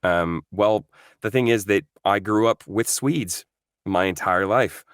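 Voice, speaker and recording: A man's voice: fairly low pitch, measured pace, fairly bright tone, clean tone, dry recording, studio quality. A man from Norway, a voice actor guest, chatting in an interview, thoughtful and full of fillers and restarts. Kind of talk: casual interview chat.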